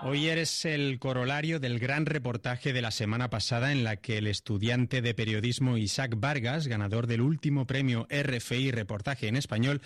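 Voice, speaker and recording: A male radio host introducing a report in a steady announcing manner. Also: mid-range voice, moderate pace, hardly any pauses. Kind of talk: scripted show introduction.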